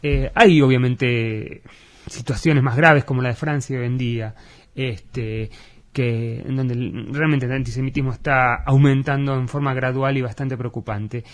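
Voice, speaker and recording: A man with an Argentine Spanish accent, an educator answering in a radio interview, earnest and measured with frequent fillers. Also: medium pitch, fairly even intonation.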